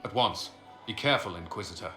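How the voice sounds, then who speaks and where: Low-voiced sober commander. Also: male voice, fast talker, sharp tone. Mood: sober, cautious. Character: serious military commander advising his leader. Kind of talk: video game cutscene dialogue. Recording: roomy echo, faint music behind.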